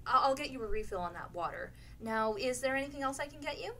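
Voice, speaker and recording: A woman plays a polite, helpful waitress in a comic radio play. Her voice is on the high side and obliging, chatty with customers. There is faint noise behind her. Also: expressive, fast talker, fairly bright tone. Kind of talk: comedic radio play acting.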